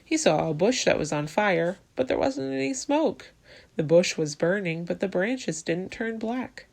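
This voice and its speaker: A woman reading a Bible story aloud to children in a deliberate way, posing questions to young listeners as she goes. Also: mid-range voice, expressive, gravelly, a little mellow. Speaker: children's storytime reader at a church.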